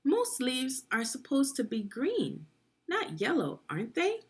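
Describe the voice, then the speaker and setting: A woman's voice: sits high, wildly swooping pitch, measured pace, slightly rough. A woman leading a guided read-aloud of a children's science book, teaching and prompting young listeners with questions.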